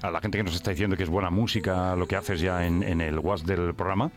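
Chatty male radio host, low voice. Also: fast talker, over music. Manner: chatty, animated, repeats words. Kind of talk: radio interview. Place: radio studio.

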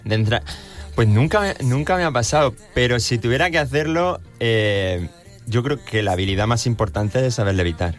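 A man's voice: mid-range voice, moderate pace, soft background music. A young man from southern Spain, a guest musician chatting casually on a radio show, rambling and laughing easily. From Baza, Granada, Spain. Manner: laughing easily, rambling self-deprecating answers.

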